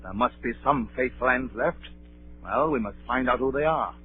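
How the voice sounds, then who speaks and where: An actor playing a firm, level-headed ship's captain in a 1930s radio play, speaking decisively. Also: male voice, mid-range voice, moderate pace, soft background music, natural voice. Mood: resolute. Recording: narrow, muffled 1930s radio broadcast.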